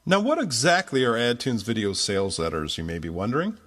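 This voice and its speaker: A man's voice: mid-range voice, big pitch swings, a little fast, a bit bright. A man reading scripted promotional narration for a sales video.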